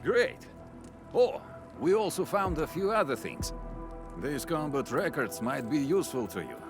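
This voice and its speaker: A man's voice: fairly high pitch, sing-song, a little slow, music in the background. A man playing a friendly, grateful worker in a video game, casual and earnest. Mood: eager.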